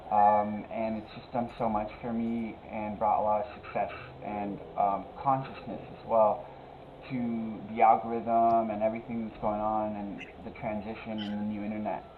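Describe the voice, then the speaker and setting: A man's voice: lowish voice, little pitch movement, normal speed. A man co-hosting a music livestream, speaking casually over thin, muffled online audio. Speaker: livestream co-host, music event organizer. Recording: muffled phone-like online audio, faint noise.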